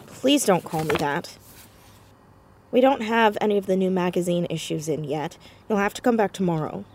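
A woman playing an earnest, headstrong, romantic teenage girl in a naturalistic audio drama, excitable and stammering when flustered. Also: on the low side, normal speed. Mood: guarded, irritated. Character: earnest, headstrong, romantic fourteen-year-old girl amateur sleuth.

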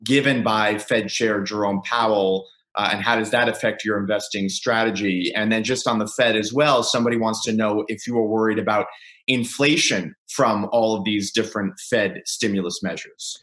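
A man's voice: fairly low pitch, fairly even intonation, moderate pace, fairly bright tone. A man moderating a conference call, relaying questions in a conversational way, recorded with a roomy echo.

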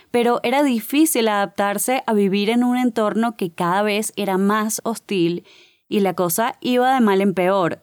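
Upbeat Caracas-accented woman hosting. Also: medium pitch. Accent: Caracas Venezuelan Spanish. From Venezuela. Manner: upbeat and warm, personal storytelling. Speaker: podcast host. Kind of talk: scripted motivational podcast narration.

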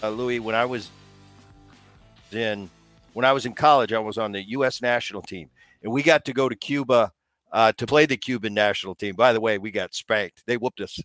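A man's voice: fairly low pitch, fairly quick, a bit bright, no room sound, faint music behind. A man in his fifties hosting a podcast, asking long rambling questions with restarts. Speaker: podcast host, former baseball player. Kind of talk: casual interview conversation.

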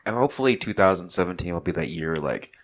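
A man's voice: deep voice, expressive, quick pace, a little hiss or hum. A man co-hosting a casual chat show, rambling with lots of "like" and restarts. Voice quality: rambling with many "like" fillers. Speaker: podcast co-host. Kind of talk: casual banter.

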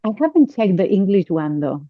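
A woman with a Catalan accent, a native Catalan speaker, talking as a guest on a podcast in a halting way with many fillers and restarts. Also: mid-range voice, big pitch swings, no echo, narrow, tinny audio. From Catalonia, Spain. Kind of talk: podcast interview.

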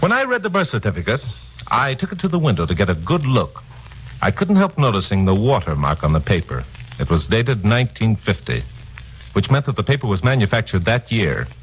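A man's voice: on the low side, wildly swooping pitch, brisk, a little hiss or hum, low-quality recording. An actor playing a wisecracking, tough crime-fighter narrating his case in a 1950s radio drama, hard-boiled and sardonic. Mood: breezy, wry. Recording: old 1950s radio recording, music underneath.

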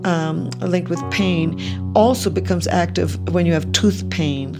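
A woman's voice: on the low side, unhurried. A woman scientist explaining things in an unscripted interview. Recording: music over interview clip.

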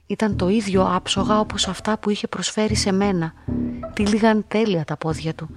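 An actress voices a young, solitary woman in a literary radio drama. She speaks at a middle pitch with measured, inward-looking delivery and quiet curiosity. Music plays clearly underneath. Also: fairly even intonation, close to an everyday voice. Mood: reflective. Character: young lonely woman, quietly curious laboratory employee narrating her story. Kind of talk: literary radio play narration and dialogue.

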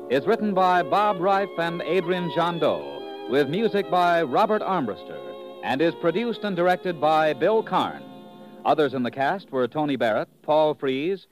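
High, animated American radio announcer. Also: male voice, poor audio. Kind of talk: 1950s radio announcing, scripted credits. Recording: vintage radio broadcast over loud music.

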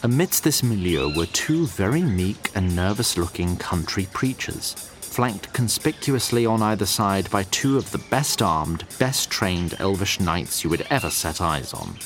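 Fantasy audio drama narrator: condescending, wry, otherworldly, highly varied intonation, music bed. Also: male voice, lively intonation. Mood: haughty, wry. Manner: condescending, ornate, deliberate phrasing. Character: haughty, wry otherworldly storyteller addressing lesser mortal listeners. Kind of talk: theatrical scripted fantasy narration. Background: music under the voice.

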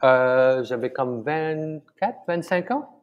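Halting middle-aged Malaysian-born man. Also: medium pitch, smooth, lo-fi sound. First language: Tamil. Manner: halting, many fillers and restarts, chuckles often. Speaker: chef, interview guest. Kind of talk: casual conversational interview.

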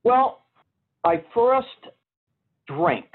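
A man's voice: very high voice, big pitch swings, very slow, husky. A man in his fifties with a strong Boston accent, telling his story plainly and unhurriedly as an interview guest. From Boston area, USA. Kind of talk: casual conversation. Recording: tinny phone line.

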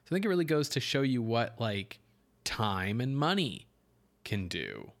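Man co-hosting a podcast, measured delivery. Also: mid-range voice, sing-song. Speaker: podcast co-host. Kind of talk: film discussion, partly prepared notes.

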